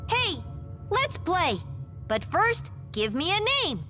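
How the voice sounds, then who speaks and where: A woman's voice: extremely high pitch, sing-song, slow, sharp tone, soft background music, exaggerated voice. A woman playing a talking stuffed toy in a game, reciting in a chirpy, programmed way. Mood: blank, programmed. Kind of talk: English game dub acting.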